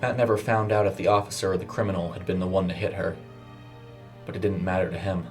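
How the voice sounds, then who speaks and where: A man's voice: low voice, fairly even intonation, quick pace. A man narrating a scripted horror short story in a dramatic storytelling style. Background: music under the voice.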